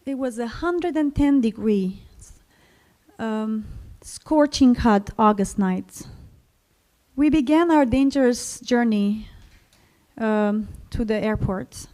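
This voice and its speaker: A woman from Syria, telling a personal story to an audience in a slow, reflective way. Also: on the high side, mellow. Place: library event stage.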